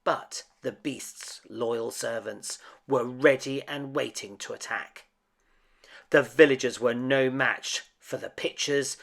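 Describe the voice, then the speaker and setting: A man's voice: mid-range voice, gravelly, fairly bright tone. A man reading a children's picture book aloud as a dramatic storyteller. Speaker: storybook reader.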